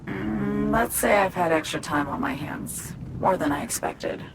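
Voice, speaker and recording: Secretive, low-voiced hacker. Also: female voice, a little slow, creaky, noisy background. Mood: hurried, confiding. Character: secretive, intense female hacker, earnest and guarded. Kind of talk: cinematic game acting, confiding dialogue. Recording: music behind the voice.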